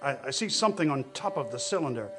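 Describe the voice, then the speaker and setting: An actor playing an army captain giving a field report in a radio drama, with a clipped, official manner. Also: male voice, medium pitch, big pitch swings, fast, fairly bright tone, soft background music. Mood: alarmed, excited. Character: confident male army signal corps captain reporting from battlefield. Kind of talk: stage radio drama acting.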